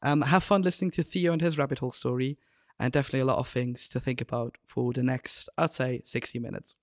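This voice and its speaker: A man's voice: mid-range voice, fairly even intonation, quick pace, dry recording, studio quality. A man co-hosting a podcast, laid-back and easygoing. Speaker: podcast co-host. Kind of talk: casual podcast chat.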